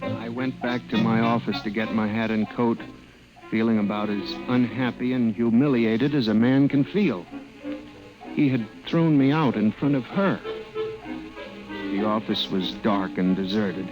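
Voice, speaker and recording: A man's voice: fairly low pitch, unhurried, highs cut off, close to an everyday voice. An actor playing a timid, earnest male statistician in an old-time radio drama, with a hesitant, dramatic delivery. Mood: humiliated, uneasy. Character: timid, earnest, humiliated male office statistician narrating his ordeal. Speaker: narrator and lead character. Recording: vintage radio recording with music.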